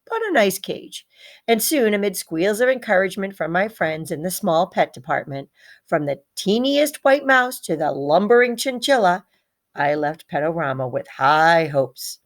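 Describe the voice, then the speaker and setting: A small male pet hamster tells his own storybook tale, acted out by a woman reader. He is bright, proud and easily heartbroken. The delivery is dramatic, in a slightly low register, with words repeated for emphasis. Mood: proud, pleased. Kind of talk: children's storybook read-aloud in first person.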